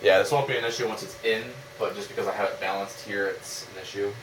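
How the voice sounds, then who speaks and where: A man's voice: on the low side, fairly even intonation, fast, background noise. A man giving a casual, off-the-cuff demo as a DIY builder, thinking aloud as he handles his gear.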